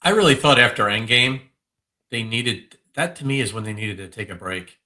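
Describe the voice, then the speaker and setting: A man's voice: mid-range voice, expressive, normal speed, sharp tone. A man co-hosting a casual chat, giving earnest, emphatic opinions. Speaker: podcast co-host.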